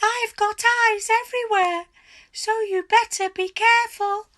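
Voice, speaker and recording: A woman voicing a spiteful, suspicious old wife in a children's story, with a shrill cackle and a scolding, gloating manner. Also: fairly even intonation, a little slow, fairly bright tone. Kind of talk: theatrical children's storybook character voice.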